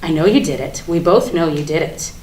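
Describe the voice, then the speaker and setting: A woman's voice: deep voice, brisk, lightly acted. A woman performing a theatrical monologue as a defiant, ranting everywoman, sardonic and emphatic in her delivery. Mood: taunting, confiding. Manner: sardonic, emphatic, swinging from hushed confiding to outraged ranting. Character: ranting, defiant everywoman gleefully confessing she killed Barbie. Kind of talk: theatrical monologue at a staged reading. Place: live staged reading in a room. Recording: echoey room with background noise.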